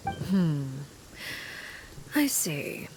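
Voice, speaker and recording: An actress playing a cool, menacing woman in charge in an anime-style video game, measured, formal and dryly aloof. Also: fairly low pitch, sing-song, noisy background, heavily acted. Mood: cool, probing. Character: cool, composed, menacing woman leading an orphanage.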